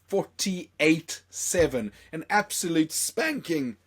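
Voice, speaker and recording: A young man from South Africa hosting a casual podcast, hoarse from a chest infection, rambling and excitable with many fillers. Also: on the high side, slow pace. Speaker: podcast host.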